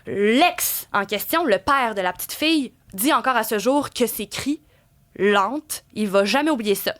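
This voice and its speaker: High-voiced Québécois woman telling true crime. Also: unhurried. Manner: lively, playful storyteller who drops to a hushed tone for grim details. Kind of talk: true crime storytelling on radio. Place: radio studio.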